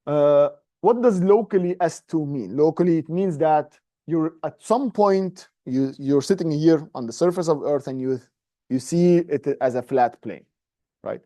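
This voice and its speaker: Egyptian guy teaching a math class, kinda high mellow voice, dry sound, keeps checking you follow. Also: a little slow, no room sound. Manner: informal, explanatory, often checks in with "okay?" and "right?". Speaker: university lecturer. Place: medium-sized indoor classroom, standing at a presentation screen.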